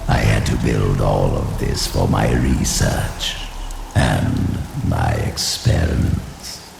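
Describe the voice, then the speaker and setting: A man voicing an ancient, scheming sorcerer who speaks slowly and condescendingly with a deep, gravelly voice. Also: lively intonation, noisy background, heavily acted. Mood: instructive. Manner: slow, measured, condescending. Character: ancient scheming male Horadric sorcerer, silky and proud. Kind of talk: video game cutscene dialogue. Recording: roomy game mix with music.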